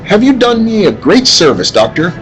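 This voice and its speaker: A man's voice: high-pitched, very animated, normal speed, soft background music, poor audio. A man acting a grandiose, gloating villain bent on ruling the world, in an old-time radio drama style. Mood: gloating triumph. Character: male megalomaniac mad villain seeking world domination.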